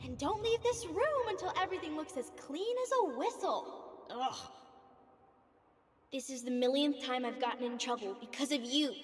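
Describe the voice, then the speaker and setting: A woman's voice: high voice, animated, moderate pace, slight background noise. A woman with an American accent playing a dutiful, exasperated ten-year-old child in a video game flashback. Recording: echoey long reverb flashback effect.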